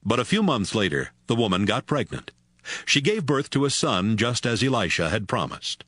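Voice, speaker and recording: A man's voice: lowish voice, sing-song, moderate pace, a bit bright, slightly rough, no room sound. A man narrating a dramatized scripture audiobook in a scripted storytelling style.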